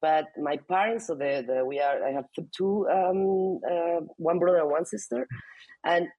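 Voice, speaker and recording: A woman's voice: on the low side, normal speed, dark tone, husky, no echo, low-quality recording. A woman, talking casually in an interview about her family, with many restarts and "I mean"s. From Spain. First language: Spanish. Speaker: interviewee, mother.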